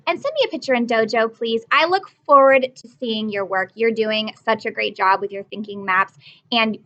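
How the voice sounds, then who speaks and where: A woman's voice: fairly high pitch, bright, forceful tone, muffled recording. A woman teacher reading a picture book aloud to young children, stopping often to ask them questions and praise them. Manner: encouraging, asks children questions.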